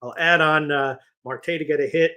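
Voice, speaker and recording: Bright-voiced man listing prop bets. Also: fairly high pitch, fairly even intonation, normal speed, clean tone. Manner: casual, adds picks with fillers. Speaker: betting analyst. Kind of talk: sports betting radio talk.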